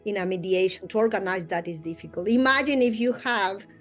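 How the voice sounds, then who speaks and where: A woman's voice: medium pitch, a little fast, a bit bright, faint music behind. A woman whose first language is Spanish, a mediator answering interview questions earnestly, with slightly non-native phrasing and frequent light laughter. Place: Australia.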